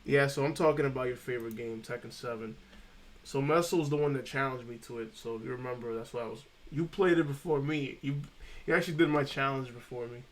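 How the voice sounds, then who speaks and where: A man's voice: mid-range voice, brisk. A man chatting casually on a live stream about game trophy lists, rambling and saying "freaking" often.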